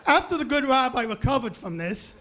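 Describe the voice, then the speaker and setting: A young man with a high, raspy voice doing a self-deprecating deadpan stand-up set on a club stage mic. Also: a little fast, slight background noise. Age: young adult. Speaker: stand-up comedian. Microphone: handheld stage mic.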